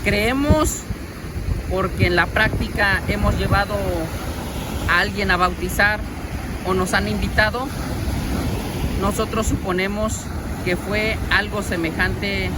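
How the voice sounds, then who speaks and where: A man, a parish priest giving a catechetical homily, slow and measured, asking his listeners questions. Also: sits high. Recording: loud wind noise outdoors.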